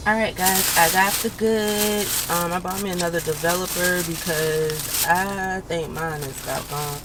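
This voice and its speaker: A woman's voice: lowish voice, steady tone, a little slow, music in the background, low-quality recording. A woman chatting casually to her viewers, her voice nasal and stuffy from a sinus infection. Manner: chatty, addresses viewers as y'all. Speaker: beauty vlogger. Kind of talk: casual vlog chat. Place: car, then home.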